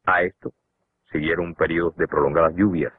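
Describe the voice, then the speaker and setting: A man with a Cuban Spanish accent giving measured, scripted documentary narration for a cartoon. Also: low voice, flat delivery, slightly rough. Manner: measured, explanatory. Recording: tinny lo-fi narration over music.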